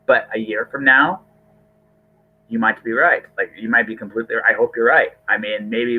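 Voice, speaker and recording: A low-voiced man hosts a casual podcast over a thin, phone-like connection. He talks in loose, conversational runs, doubling back to repeat and reword his thoughts. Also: breathy edge. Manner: hesitant, repeats and rephrases himself, hedging. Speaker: podcast host. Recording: thin, band-limited phone-like call audio.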